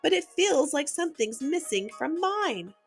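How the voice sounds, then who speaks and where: A woman's voice: high voice, very animated, measured pace, creaky, faint music behind, pitched above the performer's own voice, heavily acted. A woman voicing a picky female cat friend in a storybook, speaking politely but critically.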